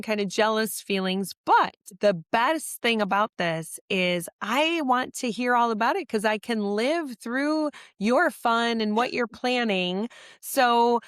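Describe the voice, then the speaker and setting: A woman co-hosting a podcast with a slightly hoarse voice, asking questions in a gushing, friendly way. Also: mid-range voice, fairly bright tone, no echo, studio quality. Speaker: podcast co-host. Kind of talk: casual chat.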